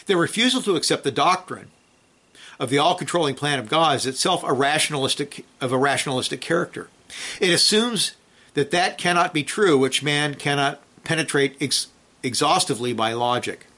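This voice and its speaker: A man's voice: fairly high pitch, fairly quick, sharp tone. A man who teaches a weekly Bible study, reading a theology text aloud with emphasis, then commenting with frequent restarts.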